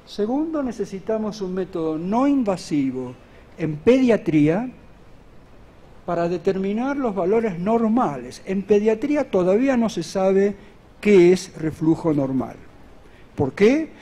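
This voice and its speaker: A man's voice: high voice, big pitch swings, mellow, slightly rough. Earnest middle-aged man lecturing at a podium. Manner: earnest, measured explaining with rhetorical questions. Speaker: medical conference lecturer. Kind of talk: academic conference lecture. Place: large conference hall at a podium. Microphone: podium microphone on a stand.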